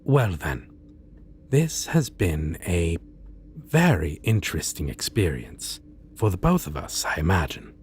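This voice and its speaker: Man playing a slow, courteous, slyly menacing supervillain. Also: low-pitched, very animated, darkish tone, a little hiss or hum. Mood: cordial, pleased. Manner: smooth-talking, deliberate pauses, theatrically polite. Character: suave male supervillain interviewing a minion, courteous, slyly menacing. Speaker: roleplay voice performer. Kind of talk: ASMR roleplay, scripted monologue.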